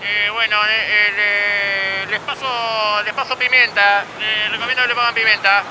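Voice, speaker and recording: A man's voice: sits high, fairly even intonation, normal speed, noisy background, natural voice. A man playing an officious, absurd Argentine dispatcher in a radio comedy, making clipped announcements and repeating phrases. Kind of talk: comic radio dispatch parody.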